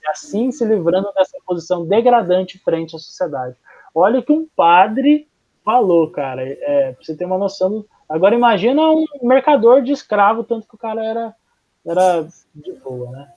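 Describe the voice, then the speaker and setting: A young Brazilian man teaching a history class in a loose, chatty way, with many fillers and restarts. His voice sits a bit higher than most men's. The recording sounds dull and lo-fi. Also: big pitch swings, normal speed. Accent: Brazilian Portuguese. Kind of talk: casual classroom lecture.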